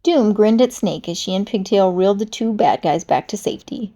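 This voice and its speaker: A woman reading a children's storybook aloud, with animated, dramatic narration. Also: medium pitch, a little fast. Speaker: storybook reader.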